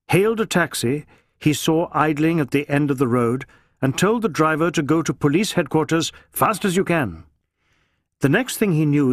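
A man narrates a novel for an audiobook in a somewhat high voice. The delivery is scripted and dramatic, and the sound is dry. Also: no room sound.

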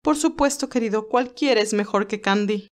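A woman's voice: fairly high pitch, normal speed, close to an everyday voice. A woman voicing a wealthy, indulgent mother who soothes in an audio story. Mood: reassuring.

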